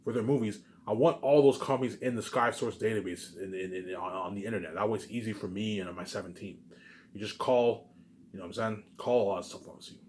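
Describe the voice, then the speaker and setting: A man's voice: fairly low pitch, steady tone, fast, darkish tone, a little hiss or hum. A man giving an informal, rambling monologue, repeating himself and restarting phrases, with emphatic tag words. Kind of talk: rambling informal monologue about personal plans.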